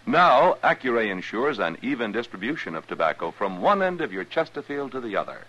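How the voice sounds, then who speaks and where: A man's voice: medium pitch, sing-song, a little fast, pushed and bright. A man reading a 1950s radio advertisement as an announcer, with a polished, emphatic sales delivery. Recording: muffled old radio recording.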